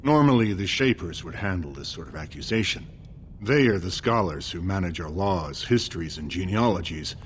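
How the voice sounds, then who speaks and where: An actor voicing a scheming, haughty dwarf man in a video game, smooth and condescending. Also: deep voice, lively intonation, normal speed, slight background noise. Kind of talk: video game dialogue acting.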